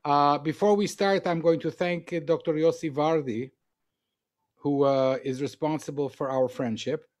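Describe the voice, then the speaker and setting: A man's voice: on the high side, fairly even intonation, normal speed, a little mellow. A man hosting an interview show, playful and joking as he pushes his guest to talk.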